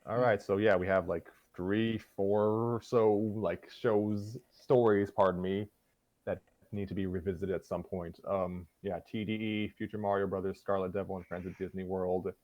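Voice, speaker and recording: A tired-sounding man hosting a casual livestream chat, speaking in a droll, low-energy, hesitant way. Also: fairly even intonation, normal speed, a little mellow, dry recording, lo-fi sound. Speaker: host of an online story-reading show.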